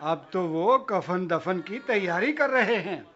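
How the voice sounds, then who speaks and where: An actor playing a blunt, sceptical village man in a religious drama, speaking in a brusque, forceful way. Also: high voice, lively intonation, slow, muffled recording, heavily acted.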